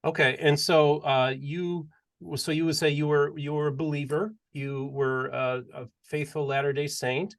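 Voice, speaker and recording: A man's voice: a little high, steady tone, moderate pace. A man hosting a podcast interview, chatty and leading the talk with long, rambling questions. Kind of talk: casual interview chat.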